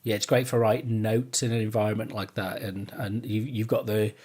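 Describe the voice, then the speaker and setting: A man's voice: on the low side, flat delivery, brisk, darkish tone, breathy edge. A man hosting a casual podcast chat, speaking in a rambling, tentative way and often repeating his phrases. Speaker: podcast host.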